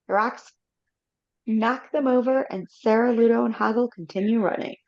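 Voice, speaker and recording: A woman's voice: fairly high pitch, normal speed, a little mellow. A woman narrating a live read-through of a film script over a video call, reading steadily with small slips. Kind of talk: reading stage directions aloud. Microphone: computer mic on a video call. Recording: slightly dull video call audio.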